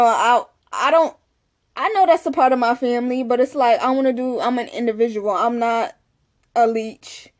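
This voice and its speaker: A young woman in casual, candid talk to the camera, rambling with frequent "you know"s. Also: on the high side. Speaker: vlogger.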